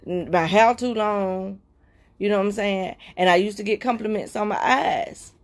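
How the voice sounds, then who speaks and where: A woman hosting a livestream, sharing a heartfelt personal testimony with viewers in a repetitive, preacher-like cadence, often slowing down and dropping to soft murmurs. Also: mid-range voice. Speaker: livestream host.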